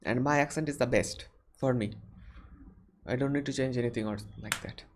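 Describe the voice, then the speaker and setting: A man's voice: medium pitch, moderate pace, a little hiss or hum. A young man with an Indian accent, hosting a casual live question-and-answer stream and rambling with many fillers and restarts. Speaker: livestream host answering viewer questions.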